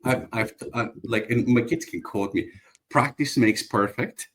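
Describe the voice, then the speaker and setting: A man originally from Bulgaria talks as a guest on a podcast. He is reflective and earnest, often restarting his sentences as he works toward his point. His voice sits at an ordinary middle pitch. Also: unhurried, fairly bright tone. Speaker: guest entrepreneur. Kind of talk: casual conversational interview.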